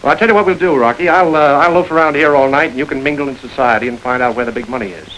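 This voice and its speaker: An actor playing a suave, wry gentleman crook who runs his gang like a business, in a 1930s crime film. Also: medium pitch, rapid-fire, a little hiss or hum, natural voice, low-quality recording. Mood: urbane. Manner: urbane, wry and smoothly commanding.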